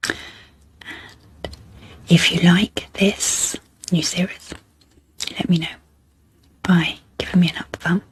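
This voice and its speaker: A woman speaking very softly and breathily in an ASMR style, gently introducing guided relaxation with unhurried, pausing phrases. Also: lowish voice, fairly even intonation. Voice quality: soft, breathy, half-whispered. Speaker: host, ASMR psychologist. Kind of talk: soft-spoken ASMR guided sleep meditation introduction.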